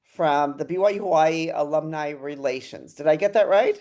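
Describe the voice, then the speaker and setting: A woman's voice: sits low, normal speed, dark tone. A woman hosting a casual interview, chatty and upbeat, who laughs often. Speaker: interviewer, host.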